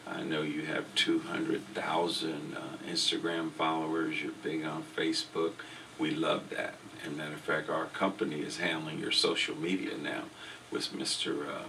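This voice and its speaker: Deep raspy guy with a bright edge hosting an interview, kinda far from the mic, faint hiss. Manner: upbeat, enthusiastic, halting questions. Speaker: interview and podcast host. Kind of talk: casual interview. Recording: distant mic with faint background noise.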